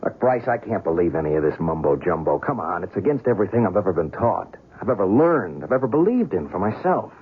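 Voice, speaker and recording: A man's voice: deep voice, big pitch swings, quick pace, hardly any pauses, slightly rough, phone-quality sound, full character voice. An actor playing a shaken, skeptical and stubborn male reporter in an old-time radio drama, talking in a colloquial, pleading way. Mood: agitated, distressed. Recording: noisy, thin old radio recording.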